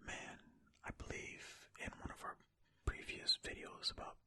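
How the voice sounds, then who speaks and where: Bright, breathy ASMR whisperer, unhurried. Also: dry recording. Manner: unhurried, musing, informal. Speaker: ASMR host reading and commenting on stories. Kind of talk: whispered ASMR storytelling with casual commentary. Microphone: close ear-to-ear ASMR microphone.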